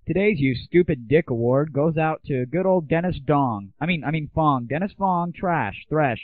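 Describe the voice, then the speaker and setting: A man's voice: a little high, lively intonation, normal speed, no room sound, faint music behind. An American man hosting an online audio show, ranting in a brash, sarcastic, mocking way. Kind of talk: irreverent solo show, ranting commentary. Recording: dry, band-limited lo-fi voice.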